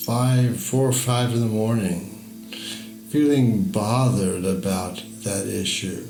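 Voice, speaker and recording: A man narrates a guided meditation as a story, in a measured, deliberate manner with pauses between phrases. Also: on the low side, creaky. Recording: echoey voice over music. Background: music.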